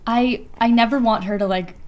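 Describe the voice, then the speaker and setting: A woman's voice: fairly high pitch, fairly even intonation, moderate pace, darkish tone. A woman co-hosting a podcast with casual comedic banter, riffing wryly and with exasperation in slangy language. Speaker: podcast co-host.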